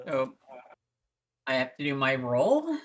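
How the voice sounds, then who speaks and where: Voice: medium pitch, big pitch swings, slow. A player talking casually during a tabletop roleplaying game.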